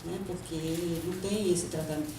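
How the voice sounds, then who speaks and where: A woman's voice: lowish voice, steady tone, normal speed, noisy background. A woman moderating a panel talk, asking long questions with hesitant repeats and frequent fillers. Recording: echoey room, distant mic.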